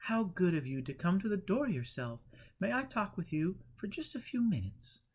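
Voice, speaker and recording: Man putting on an anxious high-pitched woman. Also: very animated, moderate pace, slightly rough, heavily acted. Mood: timid, apologetic. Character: anxious, high-strung woman in her early thirties, self-effacing. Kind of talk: audiobook character voice.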